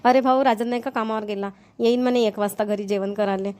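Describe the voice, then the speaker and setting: Woman doing a kindly chatty older village mother-in-law, bit high voice, rural maharashtrian marathi accent, cartoon. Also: fairly even intonation, fast, darkish tone, close to an everyday voice. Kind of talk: Marathi cartoon family comedy voice-over.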